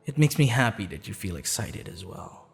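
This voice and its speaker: A man playing a courteous, flirtatious assassin in an intimate ASMR roleplay, smitten and slightly sheepish, speaking softly and formally with hesitant pauses. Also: fairly low pitch, big pitch swings. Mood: warm, apologetic. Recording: long reverb, music behind.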